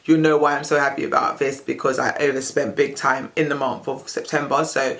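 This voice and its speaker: Husky-voiced British woman, chatty. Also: very deep voice, little pitch movement, a little fast, fairly bright tone. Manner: chatty, upbeat, strings thoughts with "so". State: husky, voice recovering from loss. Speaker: personal finance video creator. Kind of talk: casual budget walkthrough to camera.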